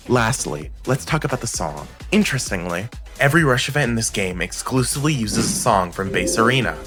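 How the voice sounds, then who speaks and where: A man delivering scripted review narration as a video game reviewer, with a playful, opinionated manner. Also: fairly low pitch. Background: music.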